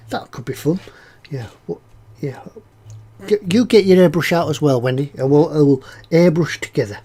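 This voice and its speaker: A man's voice: a little high, a little mellow. A man with a head cold, sounding snuffly as he chats casually on a live stream, reading out viewers' comments as he builds a scale model. He rambles in a friendly way, with lots of "uh"s and repeated words. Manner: rambling and chatty, with frequent "uh"s and self-repeats.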